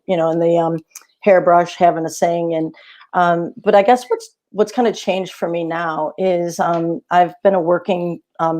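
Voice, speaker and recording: A middle-aged woman with a fairly low voice chats easily as an interview guest. She talks over a video call, relaxed and full of fillers. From not Australia. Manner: easygoing, chatty, many fillers. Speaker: guest singer-songwriter. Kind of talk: casual interview chat.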